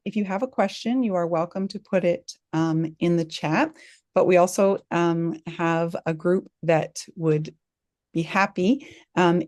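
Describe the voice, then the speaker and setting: A woman's voice: on the low side, darkish tone, no echo, clean studio sound. Polite woman moderating a webinar. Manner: polite, welcoming, with many ums. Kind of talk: hosting a webinar Q&A. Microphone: video call.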